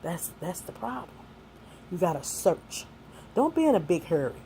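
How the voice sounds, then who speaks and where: A woman's voice: on the low side, sing-song, moderate pace, warm tone, slight background noise, low-quality recording. A woman in her sixties, a single mother and grandmother, giving young people earnest, folksy life advice that mixes faith with plain street talk.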